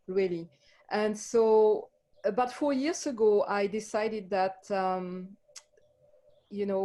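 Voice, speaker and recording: A woman's voice: medium pitch, mellow. A woman with a French accent, a life coach speaking as a guest in a passionate, reflective way with frequent ums. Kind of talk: casual interview chat.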